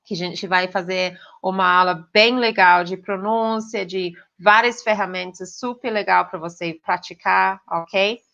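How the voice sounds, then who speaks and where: A woman's voice: mid-range voice, fairly even intonation, unhurried, vocal fry, few pauses, fairly bright tone. Woman teaching, checking in with "ok?". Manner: direct, addressing students, frequent "ok?" checks. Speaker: English teacher. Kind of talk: online pronunciation lesson. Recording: slightly dull lo-fi recording.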